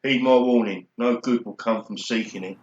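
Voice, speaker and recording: An amateur actor playing a menacing, bitter rival bidder who delivers melodramatic warnings in a radio play. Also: male voice, mid-range voice, fairly even intonation, moderate pace, a little mellow, a little hiss or hum, close to an everyday voice. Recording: roomy, dull home recording.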